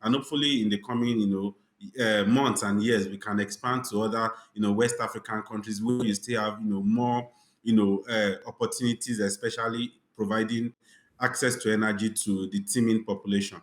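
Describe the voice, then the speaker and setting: A man's voice: lowish voice, fairly even intonation, a little fast, a little hiss or hum. A man in his forties from Nigeria, answering interview questions over a video call from his office, fluent with lots of "you know" fillers. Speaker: company executive guest. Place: home office. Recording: roomy video call audio.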